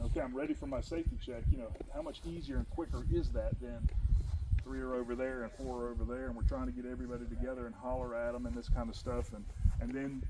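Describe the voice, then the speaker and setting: A man with a big, loud voice, leading an informal musket training session for his group and reading out the rules. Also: medium pitch, background noise. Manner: loud, animated, talks with his hands in stories.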